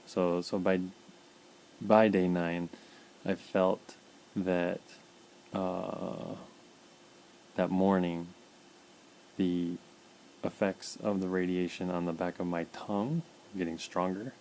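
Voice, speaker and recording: Man, very low voice, warm and mellow tone, halting casual diary talk, dry mouth, faint room hiss. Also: fairly even intonation, low-quality recording. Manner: halting, many repeated words and fillers. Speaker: vlogger documenting his illness. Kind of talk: casual personal video diary.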